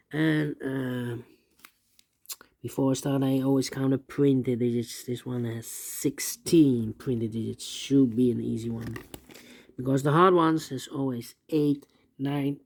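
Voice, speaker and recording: Halting male puzzle instructor, gravelly voice. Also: mid-range voice, dark tone. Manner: halting, repeats words and phrases. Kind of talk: step-by-step spoken tutorial, unscripted.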